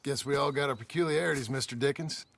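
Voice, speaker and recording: An actor playing a guarded, plain-spoken frontier ranch hand and father in a Western game, with a gravelly voice. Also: medium pitch, fast, a bit bright. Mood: guarded, firm. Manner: terse, folksy, plain-spoken. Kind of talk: naturalistic Western game acting.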